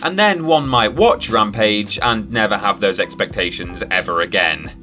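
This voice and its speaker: A man's voice: on the low side, normal speed, pushed and bright. A man presenting a scripted countdown in a wry, tongue-in-cheek manner. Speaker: video presenter. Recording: music behind the voice. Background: background music with guitar.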